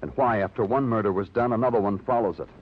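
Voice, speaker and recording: An actor playing a weary, probing police detective who narrates his own cases in a measured, terse 1950s radio crime drama style. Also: fairly low pitch, slightly rough. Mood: pressing, stern. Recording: muffled old radio broadcast with music.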